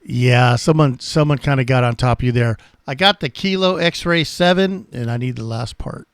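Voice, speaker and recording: A man hosting casual amateur radio contacts, talking in a friendly, halting way. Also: mid-range voice, a little hiss or hum. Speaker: amateur radio operator and channel host.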